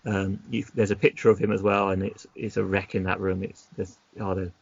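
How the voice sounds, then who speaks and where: A man's voice: sits low, level tone, brisk, vocal fry, husky, no echo, lo-fi sound. A man narrating an adventure gamebook as game master, casually, breaking off into chatty asides.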